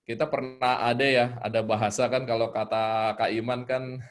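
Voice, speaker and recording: A man's voice: mid-range voice, little pitch movement, moderate pace. A man giving a casual online talk as a guest storyteller, informal and hesitant as he searches for words. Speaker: guest storyteller sharing tips. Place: online live video call.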